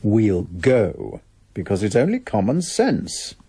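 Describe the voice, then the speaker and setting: A man's voice: lowish voice, wildly swooping pitch, creaky, dark tone, breathy edge, full character voice. An actor playing a practical, dismissive middle-aged husband and father in a radio drama, reproving. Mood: brisk, reassuring. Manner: brisk, reproving, matter-of-fact. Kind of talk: broadcast radio drama acting.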